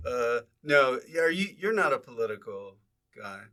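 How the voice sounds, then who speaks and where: A man's voice: mid-range voice, very animated, moderate pace, pushed and bright. A man hosting a casual comedy podcast, chatting in a jokey, riffing manner. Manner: jokey, riffing, mock announcements. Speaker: podcast host. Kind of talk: casual comic chat.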